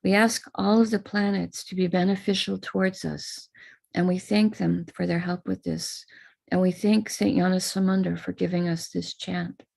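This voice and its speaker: An American woman teacher leading a live online prayer ritual over a video call, speaking calmly and instructively between slow chanted invocations. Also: on the low side, gravelly.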